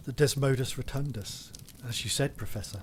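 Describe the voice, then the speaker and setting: An actor playing a composed, concerned gentleman doctor in a gothic audio drama, polite and clinical. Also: medium pitch, brisk, slight background noise, natural voice. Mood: sombre, thoughtful.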